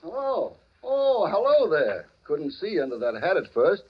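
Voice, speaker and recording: Old-time radio bit part: genial elderly storekeeper, forgetful, high voice, lively swoops, muffled period sound. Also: male voice, slightly rough, heavily acted. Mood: friendly. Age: older. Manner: folksy, chatty, halting as he remembers. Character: friendly, forgetful older shopkeeper called Pop. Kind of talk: 1940s radio drama acting. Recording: dull old radio recording.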